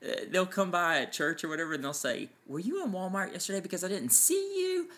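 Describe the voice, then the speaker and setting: Loud, lively-voiced man podcaster. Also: sits high, quick pace. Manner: enthusiastic, explains in numbered points. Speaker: podcast co-host and parent. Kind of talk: casual chat. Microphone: farther from mic than co-host.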